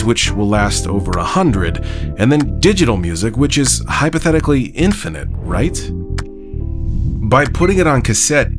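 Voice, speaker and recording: A man hosting a music video series, delivering scripted narration. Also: fairly low pitch.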